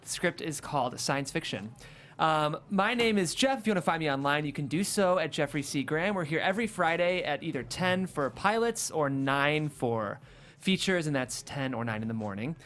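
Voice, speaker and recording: Higher-voiced, encouraging male podcast host. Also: expressive, moderate pace, a bit bright. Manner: complimentary, encouraging. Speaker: host, writer. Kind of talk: casual podcast hosting.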